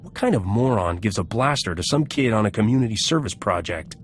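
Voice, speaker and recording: An actor playing a cynical, unsentimental smuggler captain in a video game, blunt and sarcastic. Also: male voice, on the low side, animated, fairly quick, slight background noise, lightly acted.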